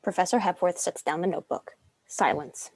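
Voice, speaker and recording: A woman's voice: on the low side, fairly quick, a little mellow, a little hiss or hum. A woman reading stage directions as narrator of a scripted drama.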